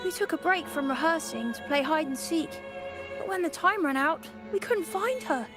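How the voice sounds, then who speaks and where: A woman's voice: high voice, animated, moderate pace. A woman playing an earnest, chatty little choir girl in a video game. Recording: game music behind.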